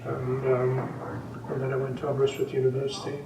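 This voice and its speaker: A man's voice: mid-range voice, completely flat delivery, creaky. Elderly man, echoey distant recording. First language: Welsh. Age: 80s. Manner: unhurried, rambling with many ums and restarts. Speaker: snooker coach and referee. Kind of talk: interview, reminiscing. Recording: echoey room, distant mic, background noise.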